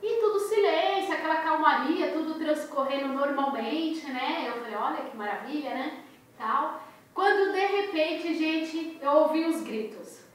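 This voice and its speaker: Woman, 30s, Brazilian Portuguese accent, high voice, expressive storyteller, very echoey bedroom, recorded from a distance. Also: a little slow. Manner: animated, expressive storyteller, gestures a lot. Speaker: crochet and crafts channel host. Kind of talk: casual storytelling vlog to viewers. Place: small bedroom, indoors. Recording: echoey room, voice off the mic.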